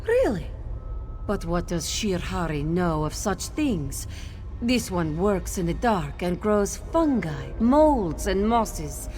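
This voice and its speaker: A woman plays a gentle female cat creature who tends fungi in a fantasy game. Her voice is mellow and lively in pitch, with modest, measured phrasing over faint music. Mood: mildly doubtful. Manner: speaks of self in third person, measured. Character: gentle female cat-folk fungus grower, modest and matter-of-fact. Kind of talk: video game character dialogue.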